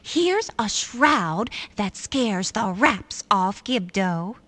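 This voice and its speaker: A woman voicing a proud, fearless villager in a cartoon game, theatrical and deliberate. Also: medium pitch, animated, fairly bright tone, heavily acted. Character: fearless villager proudly handing out magic gear.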